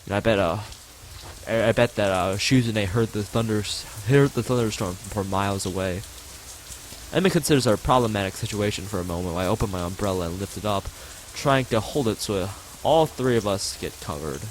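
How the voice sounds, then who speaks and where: A man's voice: on the low side, brisk, slight background noise, poor audio. A man streaming a visual novel, reading its text aloud and often stumbling over words and rereading phrases. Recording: loud music and rain behind the voice. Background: game music and rain sound effects.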